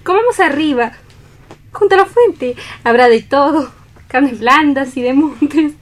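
A woman's voice: high voice, animated, measured pace. An actress with an accent from Spain playing a coquettish, cheerful, gracious lady in a radio play reading, effusive and genteel. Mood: cheerful, blithe.

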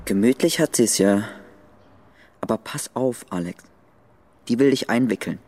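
An actor playing the hateful, taunting ghost of a dead young friend, inciting and urging in a German radio drama. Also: male voice, medium pitch, animated, measured pace, darkish tone, slight background noise. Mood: sneering, distrustful.

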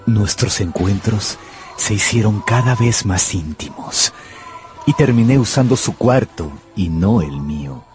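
A man's voice: on the low side, animated, measured pace, darkish tone, faint music behind. An actor playing a passionate gambler, narrating his luck and obsessive love in a fervent, literary radio drama style. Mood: enamored.

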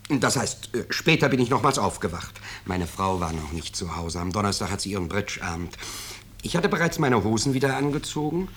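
Husky, blustering middle-class businessman. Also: lowish voice, very animated, fairly quick, fairly bright tone, heavily acted. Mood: earnest, self-justifying. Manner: blustering, insistent, defensive. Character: smug, self-justifying, anxious bourgeois businessman. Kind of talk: 1950s radio drama acting. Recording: 1950s radio recording.

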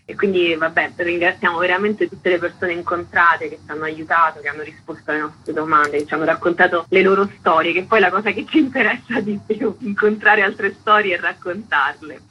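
Female theatre artist for a radio interview: sharp, bright tone, unscripted delivery, faintly noisy recording. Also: on the low side, fairly even intonation, brisk, hardly any pauses, dull audio. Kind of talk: spontaneous radio interview talk. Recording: clearly noisy background.

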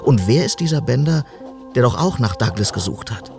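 Pensive western narrator with music. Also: male voice, mid-range voice, lively intonation, moderate pace, vocal fry. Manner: reflective, reasoning aloud. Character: pensive detective narrator in an Old West tale. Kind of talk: scripted narration, journal-like monologue.

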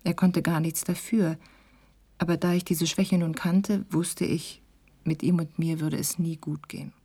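A woman's voice: lowish voice, steady tone, measured pace, warm tone, husky. An actress playing a candid, reflective woman reading out her confessional letter to a lover in a radio play. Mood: earnest, reflective. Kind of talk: letter read in radio drama.

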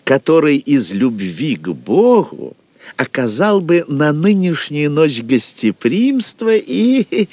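A man playing a genial, unctuous high-ranking churchman in an audio drama, speaking in an ornate manner. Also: a little mellow, no echo, full character voice.

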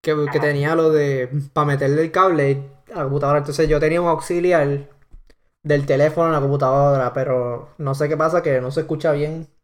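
A young man from Puerto Rico with a Puerto Rican accent, chatting casually on a podcast and filling pauses with "este". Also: a little high, steady tone. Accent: Puerto Rican Spanish. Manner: rambling, heavy on fillers. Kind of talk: casual chat.

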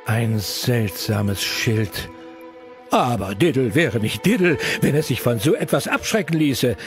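A man's voice: medium pitch, lively intonation, a little slow, soft background music. A man narrating a children's audio play as a playful storyteller who relishes long, silly words.

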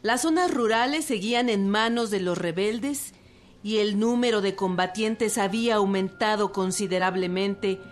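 A woman's voice: mid-range voice, normal speed. A woman giving scripted documentary narration for a radio drama. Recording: music behind the voice.

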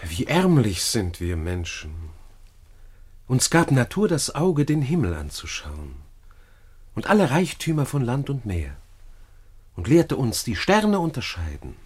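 An actor playing a brash, scheming seducer in a classical German radio play, with boastful, declamatory delivery. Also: male voice, mid-range voice, big pitch swings, a little slow, slightly rough. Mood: flattering, rapturous.

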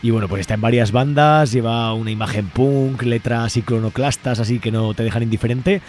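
A man's voice: medium pitch, fairly quick, a little mellow. A man hosting a radio show in an informal, conversational way, often adding tag questions. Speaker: radio host. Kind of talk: conversational radio interviewing and presenting.

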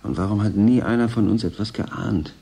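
A weary-hearted male station worker in a science-fiction radio play. His voice is deep, dark and husky, and he speaks in a subdued, doubting, questioning way. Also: normal speed. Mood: resigned, searching. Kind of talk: radio drama acting.

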